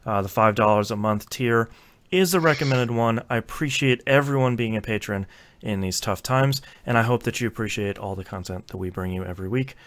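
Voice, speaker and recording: A man's voice: on the low side, a little fast, a bit bright. A man hosting a casual gaming podcast, bantering in a jokey way and often laughing as he talks. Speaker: podcast host.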